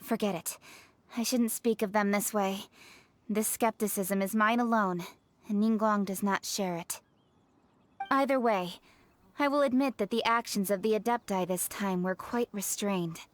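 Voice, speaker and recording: A woman voicing a proud, candid young official in a video game, speaking briskly and formally with self-assurance. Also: mid-range voice, close to an everyday voice. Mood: skeptical, blunt. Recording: music behind the voice.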